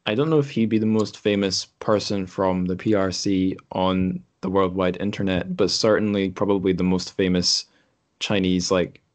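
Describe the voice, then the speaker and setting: Podcast host, male, low register, informal and conversational, gropes for the exact word. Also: fairly even intonation, normal speed. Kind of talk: casual podcast chat.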